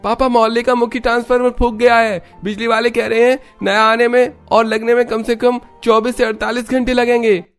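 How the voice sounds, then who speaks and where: A man's voice: extremely high pitch, little pitch movement, fairly quick, hardly any pauses, smooth, faint music behind. An actor playing a demanding, self-absorbed young man of a household in a cartoon story. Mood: worried.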